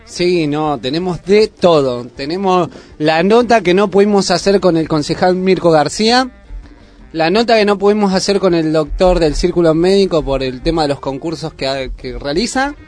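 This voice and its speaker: Male radio co-host, Buenos Aires area accent, higher-than-average voice, casual live chat, faint music behind. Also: normal speed, darkish tone. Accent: Argentine, Buenos Aires area.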